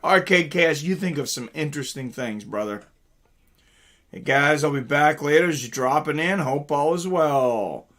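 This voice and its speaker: A man's voice: a little high, expressive, normal speed, fairly bright tone. A man hosting a casual live reaction stream, chatting informally with viewers and commenting on fights. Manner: chatty, informal, greets viewers and reads chat aloud.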